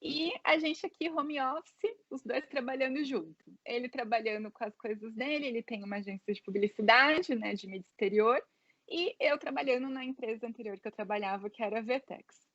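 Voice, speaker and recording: A woman's voice: a little high, brisk, fairly bright tone, dry recording, muffled recording. A Brazilian woman in her thirties with a Brazilian Portuguese accent, telling her story as an interview guest in casual, filler-heavy talk. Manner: chatty, many fillers like "né" and "é".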